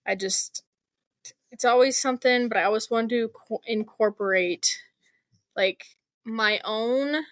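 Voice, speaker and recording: A woman's voice: a little high, measured pace, dry recording. A woman speaking as a guest author in a casual interview, chatty and informal, with plenty of "like" and "you know".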